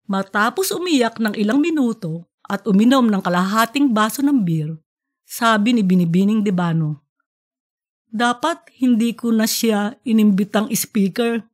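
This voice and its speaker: A woman narrating a scripted fictional story as an audiobook podcast narrator. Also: mid-range voice.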